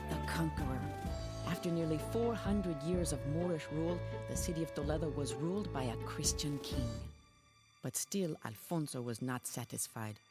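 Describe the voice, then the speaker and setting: A solemn medieval Spanish noblewoman recounts the story of her exiled knight husband. She speaks as a measured, reflective game narrator, over clearly audible music. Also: low-pitched. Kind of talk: scripted dramatic storytelling narration.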